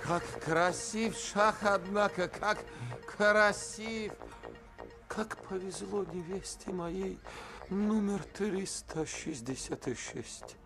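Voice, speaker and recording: A man playing a vain, petulant cartoon shah, pompous and preening, with a creaky drawl. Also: high-pitched, slow, exaggerated voice. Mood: self-admiring. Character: vain, self-adoring, petulant shah with many brides. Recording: music under the voice.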